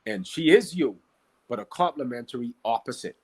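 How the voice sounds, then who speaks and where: A man from the Bahamas with a Bahamian accent and a slightly husky voice, teaching conversationally and emphatically, repeating key phrases and asking rhetorical questions. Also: medium pitch, expressive, normal speed. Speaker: podcast guest, Qigong practitioner and teacher.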